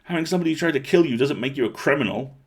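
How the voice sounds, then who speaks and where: A man's voice: medium pitch, animated, racing, slightly rough, pitched above the performer's own voice. A man voicing an indignant, stubborn young girl in a story, snappish and emphatic. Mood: angry, defiant.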